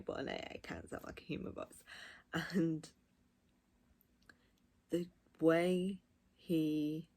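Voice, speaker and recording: Woman, unhurried pace, gravelly fry, casual reviewer, emphatic and gushing, halting phrases. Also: low voice, a little mellow. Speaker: audiobook reviewer. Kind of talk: casual, unscripted spoken review.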